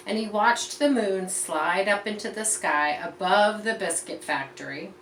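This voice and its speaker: A woman reading a picture book aloud to children in an expressive, teacherly way, pausing to explain and point things out. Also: on the low side. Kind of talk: read-aloud storytelling with explanatory asides. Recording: roomy echo, distant mic, faint background noise.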